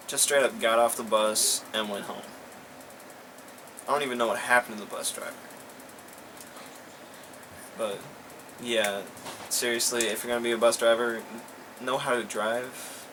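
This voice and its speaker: A man's voice: medium pitch, flat delivery, measured pace, long pauses, noisy background. A young man ranting bluntly and sarcastically in a casual chat. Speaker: co-host.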